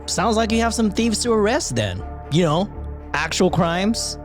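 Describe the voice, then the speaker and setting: A man's voice: sits high, animated, unhurried. A man playing a defiant, self-righteous idealist supervillain in a dramatic reading of a superhero story. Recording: background music under the voice.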